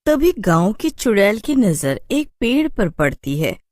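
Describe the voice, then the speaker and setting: A woman narrator reading a scripted story for an animated tale. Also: medium pitch, measured pace, no echo, clean studio sound.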